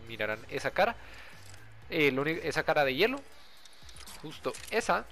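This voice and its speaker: Lively young man with a bright voice. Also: on the high side, slight background noise. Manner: friendly, informal, addresses viewers directly. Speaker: gaming video creator and host. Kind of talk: casual gameplay tutorial commentary.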